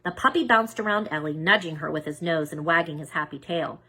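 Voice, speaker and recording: Bright, husky woman reading aloud. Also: sits low, fairly quick. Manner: expressive, emphatic. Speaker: children's book reader. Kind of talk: animated read-aloud story narration.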